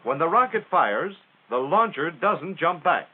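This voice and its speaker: A man's voice: a little high, big pitch swings, unhurried, heavy background noise. An American man narrating a 1940s military training film in a plain-spoken, instructional way with folksy phrasing. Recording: tinny, band-limited 1940s film soundtrack.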